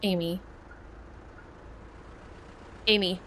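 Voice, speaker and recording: A woman playing an analytical, guarded young detective in a noir audio drama, speaking in deliberate, clipped phrases. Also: medium pitch, steady tone, fairly bright tone, slight background noise. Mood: alarmed, controlled. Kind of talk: modern noir audio drama, dialogue and narration. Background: music underneath.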